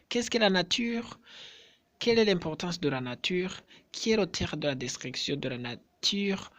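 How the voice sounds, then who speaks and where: Slow, deliberate man addressing his subscribers. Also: fairly high pitch, fairly bright tone. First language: not French. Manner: slow, deliberate, repetitive, with hesitations. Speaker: YouTuber.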